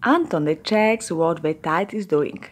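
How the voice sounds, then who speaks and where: A woman in her forties whose first language is Polish, narrating a sailing vlog in a slow, careful, explaining manner. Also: fairly low pitch, a little hiss or hum. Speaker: vlogger narrator. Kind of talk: voice-over narration.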